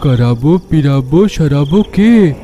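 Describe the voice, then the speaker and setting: Man acting determined young cartoon hero, very mellow dark timbre, high pitch, earnest, music behind. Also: animated, slow pace. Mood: uneasy. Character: determined young man searching for his beloved.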